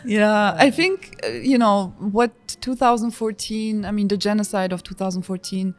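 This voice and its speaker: A woman who was born in Georgia and raised in France, speaking as a podcast guest in a thoughtful, measured way with frequent fillers. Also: medium pitch, steady tone. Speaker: guest, executive director of a nonprofit. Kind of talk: podcast interview.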